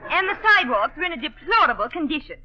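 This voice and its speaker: A woman's voice: sits high, very animated, fast talker, bright, forceful tone, exaggerated voice. A woman playing a proper, complaining lady tenant in a 1930s radio comedy. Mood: prim, complaining. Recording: dull lo-fi 1930s radio recording.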